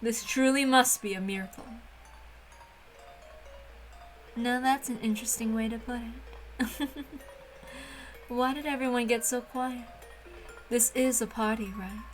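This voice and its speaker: Ancient witch in a girl's body, performed by a woman: dry, taunting, theatrical, higher-pitched, faint music underneath. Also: a little slow, long pauses, lightly acted, poor audio. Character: coolly menacing thousand-year-old witch in girl's form. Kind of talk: amateur dramatic reading of a visual novel.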